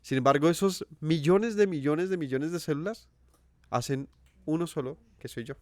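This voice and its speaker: A man's voice: on the high side, normal speed, a little mellow, dry recording. A Colombian man with a Colombian accent giving an earnest sermon, asking his listeners questions and repeating phrases for emphasis. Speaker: preacher. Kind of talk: sermon teaching.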